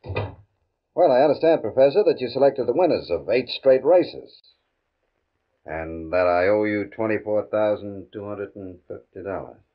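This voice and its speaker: On a dull vintage radio recording, a controlling gambling boss in a crime drama. His low voice swings expressively as he taunts with false courtesy and smooth menace. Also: animated, unhurried. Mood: smooth, sly. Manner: mock-polite, controlling, taunting. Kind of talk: 1950 radio drama acting. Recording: muffled old radio recording.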